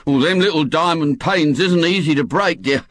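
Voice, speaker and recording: A man voicing a slow, bewildered husband with ungrammatical, plain speech. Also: fairly high pitch, fairly bright tone.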